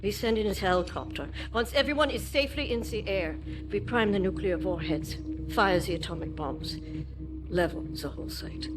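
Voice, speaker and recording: An actress playing a steely resistance leader with a German accent in a video game, measured and authoritative. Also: female voice, mid-range voice, animated, over music, lightly acted. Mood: grave, commanding. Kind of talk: video game cutscene acting.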